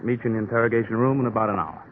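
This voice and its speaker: An actor playing a folksy, sardonic police officer in a 1950s noir radio drama, with wry, slangy delivery. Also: male voice, on the low side, fairly even intonation, racing, natural voice. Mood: wry, matter-of-fact. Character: folksy, sardonic male police colleague, needling and dry. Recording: noisy, narrow-band old radio recording.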